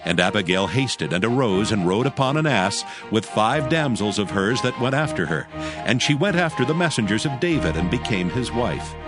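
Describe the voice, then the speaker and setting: A man reads scripture as a narrator, in a solemn and measured way. His voice is fairly low and moves expressively up and down. Faint music plays underneath. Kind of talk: scripted dramatized Bible narration.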